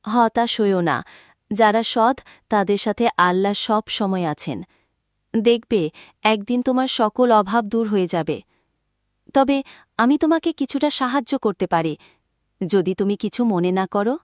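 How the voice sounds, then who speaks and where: A woman's voice: mid-range voice, expressive, unhurried, long pauses, no echo, clean studio sound, natural voice. Woman acting a kind, consoling forest maiden. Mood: reassuring. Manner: consoling, earnest. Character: kind, reassuring young woman met in forest. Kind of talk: Bengali cartoon fairy-tale acting.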